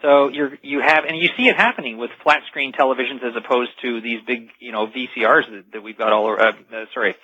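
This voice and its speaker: A man's voice: medium pitch, fast, hardly any pauses, husky, dry recording. A man speaking as an expert guest in a radio interview, explaining things emphatically and step by step. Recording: dull lo-fi radio sound.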